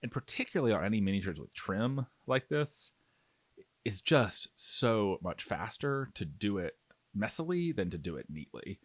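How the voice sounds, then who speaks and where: Low-voiced man, animated tutorial narration. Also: moderate pace, dry recording. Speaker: miniature painting tutorial host. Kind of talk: informal step-by-step tutorial narration.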